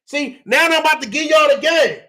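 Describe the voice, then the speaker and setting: Man, very high voice, bright and forceful, loud lecture style, keeps checking the chat is with him, voice getting strained. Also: brisk. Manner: loud, often yelling, repeats phrases, asks the audience for replies. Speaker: livestream real estate teacher. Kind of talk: fired-up livestream lecture.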